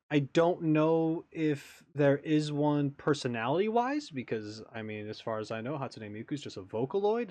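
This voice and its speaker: A man's voice: on the high side, darkish tone, no room sound. A man hosting a gaming reaction video, thinking aloud in casual, tentative, improvised commentary. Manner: tentative, musing.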